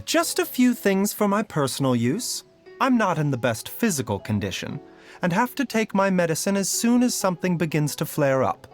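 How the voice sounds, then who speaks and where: An actor playing a gentle, scholarly doctor in a video game, speaking in a polite, measured and softly formal manner. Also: male voice, fairly high pitch. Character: gentle, courteous adult male doctor, calm and scholarly. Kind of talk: scripted game voice lines. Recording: music behind the voice.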